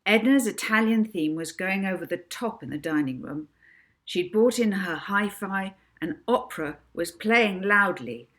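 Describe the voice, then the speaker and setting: A woman's voice: medium pitch, animated, measured pace, a bit bright. A woman author narrating her own children's book, with casual asides to the listener.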